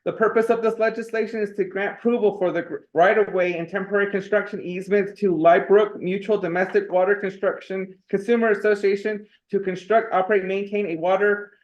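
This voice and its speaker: A male committee clerk reads votes and legislation in a fast, high, level voice, rattling off formal phrases one after another. The meeting audio sounds dull and lo-fi. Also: steady tone. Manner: brisk, formulaic reading of votes and legislation. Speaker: committee clerk reading roll call. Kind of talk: formal procedural reading.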